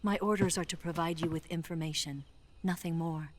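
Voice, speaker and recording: A woman's voice: low-pitched, steady tone, a little fast, lightly acted. A woman playing a cool, flirtatious spy and guide with a coy, teasing manner in a cinematic video game. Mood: concerned, guarded. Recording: clearly noisy background.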